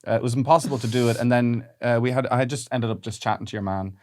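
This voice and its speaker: Man, subtle german accent, animated storyteller, casual podcast chat. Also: mid-range voice, rapid-fire. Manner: animated storyteller, lots of fillers. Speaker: podcast co-host.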